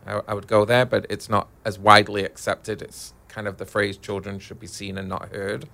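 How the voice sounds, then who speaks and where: A man's voice: lowish voice, flat delivery, normal speed, creaky, sharp tone. A middle-aged man with a Northern English accent, talking candidly as a guest travel agent in a casual interview. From Manchester, England.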